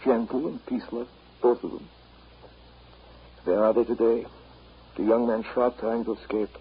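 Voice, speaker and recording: A man playing a weary, ironic older German professor, measured and grave, in a 1930s radio drama. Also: medium pitch, level tone, slightly rough. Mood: bitter irony. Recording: muffled, slightly noisy 1930s radio recording.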